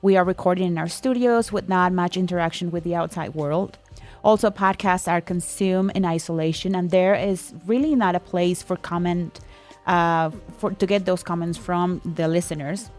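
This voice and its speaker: Lower-pitched female podcast co-host over music. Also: fairly even intonation, normal speed, a little mellow. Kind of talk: casual podcast chat, presenting news items.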